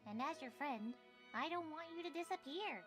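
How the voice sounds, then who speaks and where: A woman voicing a small, loyal and protective spirit companion in an animated game fandub, with music underneath. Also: sits high, normal speed. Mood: gentle, worried.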